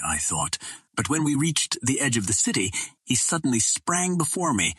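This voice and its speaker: A man reading a pulp adventure novel aloud as a narrator, in a measured, formal storytelling manner. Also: mid-range voice, fairly bright tone, slightly rough, dry recording. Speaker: audiobook narrator.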